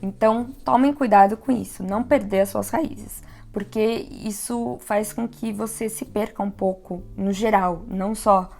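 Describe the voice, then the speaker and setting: A Brazilian woman from São Paulo with a softened São Paulo accent, giving chatty advice in a casual vlog. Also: medium pitch, fairly even intonation, measured pace, gravelly, hardly any pauses. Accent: São Paulo Portuguese, softened. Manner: chatty, reflective, laughs easily. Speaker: vlogger living abroad.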